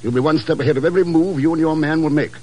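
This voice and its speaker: An actor playing a cool, determined secret agent, clipped and wry, in an old-time radio drama. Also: male voice, a little high, rapid-fire, warm tone, breathy edge. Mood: urgent, firm.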